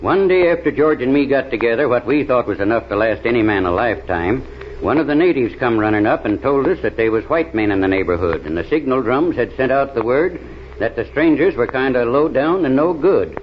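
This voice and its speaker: Actor as folksy backwoods Southerner, muffled old radio. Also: male voice, mid-range voice, lively intonation, a little fast, close to an everyday voice. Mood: recounting adventures. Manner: drawling, folksy, ungrammatical speech. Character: folksy backwoods American adventurer, plain-spoken, unhurried storyteller. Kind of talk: 1930s radio serial acting. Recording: dull, noisy old radio recording.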